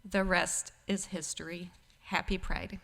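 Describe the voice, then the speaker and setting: A woman giving a prepared reflection at a church service, telling it in a measured, storytelling way with pauses. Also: lowish voice, level tone, creaky, heavy background noise. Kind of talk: prepared spoken reflection read to congregation.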